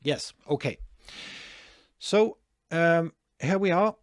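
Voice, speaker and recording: A man's voice: fairly high pitch, takes it slowly, no echo, studio quality. A Norwegian man talking through a screen-share tutorial, hesitant, with many fillers and restarts. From Norway. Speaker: online marketing tutor.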